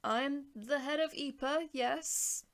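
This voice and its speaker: A woman's voice: high voice, slow, a bit bright, voice pushed up, full character voice. A woman voicing a self-important male government official, defensive and blustering in protest. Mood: flustered, defensive.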